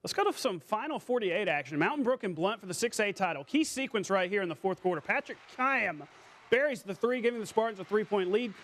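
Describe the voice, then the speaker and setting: An American man anchoring a TV sportscast, calling highlights in a playful, colloquial, punchy way. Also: lively intonation, a little fast, a bit bright. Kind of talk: scripted sportscast with highlight commentary.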